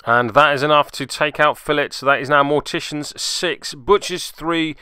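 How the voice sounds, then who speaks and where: A man's voice: a little high, moderate pace, pushed and bright, clean tone, no room sound, clean studio sound. A man narrating a casual play-by-play recap of a tabletop game as its host, with wry, self-deprecating asides.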